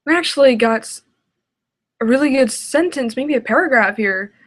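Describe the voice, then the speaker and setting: A woman's voice: high-pitched, expressive, normal speed. A woman giving casual, sarcastic, exasperated reaction commentary.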